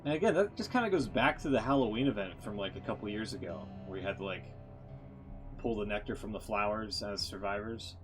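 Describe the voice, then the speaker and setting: A man's voice: lowish voice, expressive, brisk, rough recording. A man streaming a game live, giving casual commentary with musing, wry asides. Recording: game music behind the voice. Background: game music.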